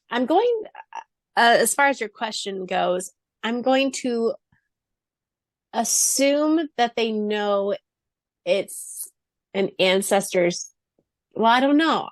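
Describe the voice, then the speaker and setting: A woman's voice: a little high, animated, slow pace, long pauses, no room sound. Giggly woman chatting, very dry sound. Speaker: podcast co-host. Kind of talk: casual book discussion chat.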